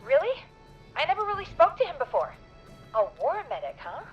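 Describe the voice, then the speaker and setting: A woman's voice: high voice, huge pitch swings. A woman playing a practical, concerned radio show colleague in a horror game, heard through a filtered line. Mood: urgent, curious. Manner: brisk, practical. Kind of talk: dramatic horror game voice acting. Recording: dull, filtered line with music.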